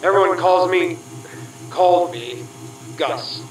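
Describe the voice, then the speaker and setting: A man's voice: high voice, takes it slowly, slight background noise. A man playing a hesitant, goofy, easily tempted spy ghost in an audio drama, with an American accent and slangy talk. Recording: roomy, distant.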